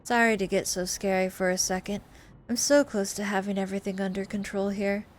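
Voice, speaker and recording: A woman's voice: mid-range voice, steady tone, fairly quick, close to an everyday voice. A woman voicing a tense, angry young woman in a visual novel game, curt, stern and threatening. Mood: stern, weary.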